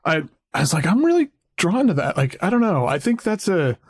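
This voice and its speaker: A man hosting a music podcast, chatting casually with a deep voice, rambling with fillers and restarts. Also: very animated, brisk, husky, no room sound. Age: adult, a parent. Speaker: podcast host. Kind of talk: casual conversation about music.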